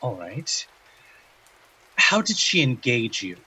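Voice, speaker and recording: A bright-voiced, probing male interviewer. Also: mid-range voice, big pitch swings, slow pace, slightly rough, full character voice, rough recording. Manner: formal, precise, methodical. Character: measured, probing male interviewer examining a dreamer. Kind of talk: audio drama acting, clinical questioning.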